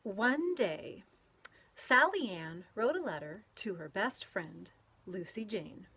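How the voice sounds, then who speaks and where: A woman reads a children's storybook aloud with a very lively, swooping delivery. She takes her time, stretching words out expressively for young listeners. Her medium-pitched voice gives playful weight to the silly words. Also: slow pace, breathy edge. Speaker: storybook read-aloud reader. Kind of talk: animated children's picture-book read-aloud.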